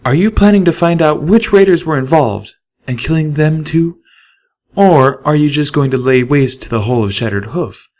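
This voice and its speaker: A man voicing an idealistic young mare healer, high-pitched and earnest in an audiobook reading. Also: lively intonation, moderate pace, a little mellow, close to an everyday voice. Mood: desperate.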